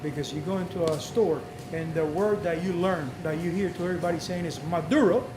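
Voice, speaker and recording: High-voiced man teaching, non-native speaker. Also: a little fast, background noise. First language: not English. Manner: repeats key points, instructive. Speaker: cigar shop host. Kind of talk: live instructional talk. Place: cigar shop. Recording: echoey room with crowd chatter.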